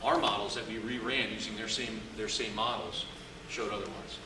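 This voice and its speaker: A man's voice: lowish voice, fairly even intonation, moderate pace. A man speaking as the invited expert at a public meeting, hedging and restarting as he answers questions from the audience. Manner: hedging, restarts mid-sentence. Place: meeting hall. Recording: echoey hall, distant mic, noisy.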